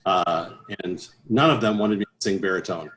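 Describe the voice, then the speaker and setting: Middle-aged male singer, lowish voice, conversational and rambling, slight background noise. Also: normal speed, fairly bright tone, rough recording. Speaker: interview guest, professional choral bass singer. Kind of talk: casual interview talk.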